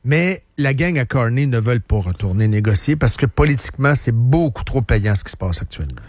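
A man's voice: medium pitch, expressive, brisk, no room sound. Quebec man hosting talk radio, close and dry. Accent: Quebec French. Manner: colloquial, peppered with English words, repeats phrases for emphasis. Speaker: radio host. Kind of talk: opinionated casual current affairs chat.

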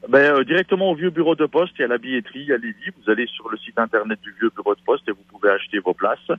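Comédien à la voix voilée, bavard et enthousiaste, son radio terne, sec. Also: male voice, medium pitch, fast talker, breathy edge, dry recording. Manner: chatty, enthusiastic promoter. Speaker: stage actor, guest. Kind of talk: radio interview. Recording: dull lo-fi radio sound.

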